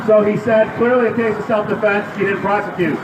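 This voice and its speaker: A man's voice: sits high, steady tone, fairly quick, background noise. Man giving a fiery outdoor rally speech. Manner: loud, rhetorical, questioning the crowd. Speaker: rally speaker. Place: outdoor city square. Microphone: public address system heard from a distance. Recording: echoey outdoor PA from far off.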